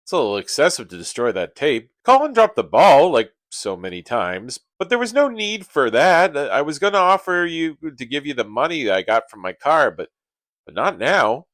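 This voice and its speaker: A man's voice: a little high, sing-song, moderate pace, a bit bright, no room sound. A man playing an indignant, blunt buddy in an audio comedy-drama, with an exasperated, emphatic delivery. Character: indignant, blunt young man, loyal griping buddy Stu.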